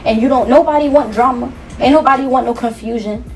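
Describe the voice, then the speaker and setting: A woman's voice: on the high side, fast, a little mellow, faint music behind. Emphatic woman, heard from across the room. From New York. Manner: emphatic, preachy, repeats herself, many fillers. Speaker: guest musician. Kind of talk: passionate talk-show conversation. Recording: distant mic with faint noise.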